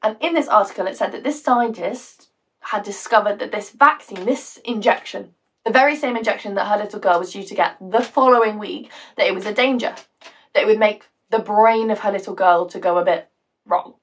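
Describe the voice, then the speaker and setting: A woman acting a theatrical monologue with a British accent as a bitter, wounded woman, sardonic, halting and emotionally volatile. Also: mid-range voice, a bit bright, far from the mic, natural voice, low-quality recording. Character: bitter, wounded woman confiding a painful story.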